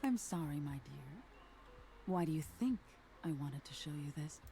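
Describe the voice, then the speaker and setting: A woman voicing an eerie nightmare spirit in a video game, telling a soft, ominous tale in a hushed, whispery voice. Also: low-pitched, lively intonation, vocal fry, soft background music. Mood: gentle. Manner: slow, soothing, ominous storytelling. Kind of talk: game character narration.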